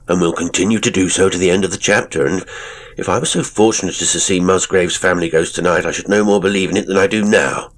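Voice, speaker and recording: A man voicing a flippant, cocky young Victorian gentleman for an audiobook, with a mocking, rhetorical way of talking. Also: low-pitched. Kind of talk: audiobook character acting.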